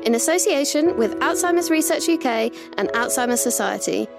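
Female announcer, high and gravelly, crisp upbeat podcast intro read. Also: animated, brisk, music in the background.

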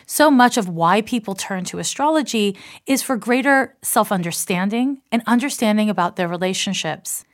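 A woman's voice: medium pitch, animated. Chatty middle-aged Canadian-American woman. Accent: mix of Canadian and American. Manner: particular, deliberate enunciation; chatty, self-described talker. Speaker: astrologer and author. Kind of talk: reflective personal monologue.

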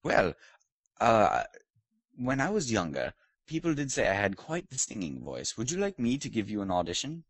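A man's voice: lowish voice, wildly swooping pitch, moderate pace, husky, dry recording, heavily acted. A man playing a pompous British lord who hosts a comedic podcast interview, in a put-on British accent with a mock-posh, teasing manner. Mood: haughty, mock-posh. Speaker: host.

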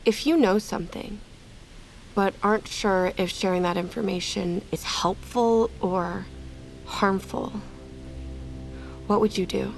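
Sci-fi audio drama: troubled female colony scientist, unhurried, hesitant, natural delivery, light music beneath. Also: medium pitch, steady tone, vocal fry. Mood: troubled, pensive.

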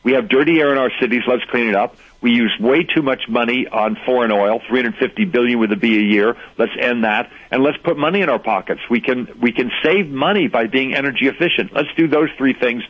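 A man's voice: medium pitch, brisk, hardly any pauses. An older man speaking as a radio interview guest, earnest and persuasive, chuckling now and then. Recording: dull lo-fi radio sound.

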